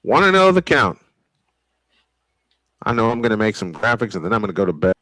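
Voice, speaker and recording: A man's voice: lowish voice, very animated, a little fast, bright, forceful tone, dry recording. A man announcing a baseball game live, mixing old-school play-by-play with casual asides. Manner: old-school sportscaster delivery.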